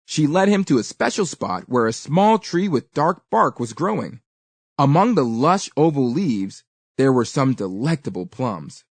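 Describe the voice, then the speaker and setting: A woman narrates a scripted children's story. Her delivery is highly expressive, with lively swings of pitch and deliberate emphasis on key words. The sound is dry, with little room echo. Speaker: read-aloud storyteller.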